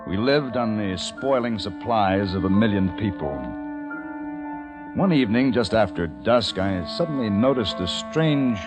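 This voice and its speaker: A man acting a grave, resolute plague survivor in an old time radio drama, with solemn, deliberate, dramatic delivery. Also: on the low side, lightly acted. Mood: grave narrating. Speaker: radio drama lead actor and narrator. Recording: vintage radio drama with musical underscore.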